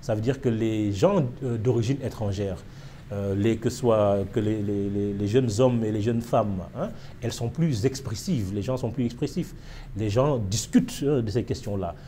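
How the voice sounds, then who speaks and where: A man with a low, mellow voice, giving an interview in a thoughtful, hesitant way, his sentences full of small fillers. A faint hiss sits under the recording. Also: normal speed. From Senegal. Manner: reflective, with many fillers and hesitations. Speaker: interviewee, historian. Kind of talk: casual interview.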